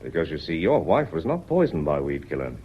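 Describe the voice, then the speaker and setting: An actor playing a courteous, dogged police inspector in a period mystery radio drama, politely and deliberately probing. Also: male voice, rumbling low, big pitch swings, husky, muffled recording, heavily acted. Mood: measured. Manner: polite, deliberate questioning with frequent "sir" and "miss".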